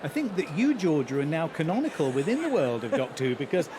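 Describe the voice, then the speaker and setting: A man's voice: a little high, very animated, fast talker. A man giving articulate expert explanations as a guest in a live interview at a large concert hall. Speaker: guest commentator, broadcaster. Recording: background noise of a busy venue.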